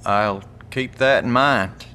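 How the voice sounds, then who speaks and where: Wary male survivor, sharp bright voice, folksy and laconic, audio drama, some background noise. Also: mid-range voice, slow. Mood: measured. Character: wary, plain-spoken male survivor of an apocalypse. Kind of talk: naturalistic audio drama dialogue.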